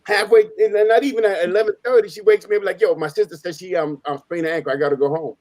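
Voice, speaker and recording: Male panel storyteller, native Spanish speaker, slangy and emphatic, rapid delivery, slightly high register. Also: fairly even intonation, a little mellow, no room sound. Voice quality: slangy, informal street talk. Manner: emphatic, repeats phrases, acts out quoted dialogue. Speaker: panelist on a live open panel. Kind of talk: casual animated storytelling.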